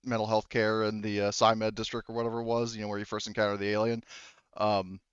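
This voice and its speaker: Clear-voiced man running a game, dull recording. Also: on the low side, level tone, fast, dry recording. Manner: rambling, sweary, laughs easily. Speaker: game master. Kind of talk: casual tabletop roleplaying session.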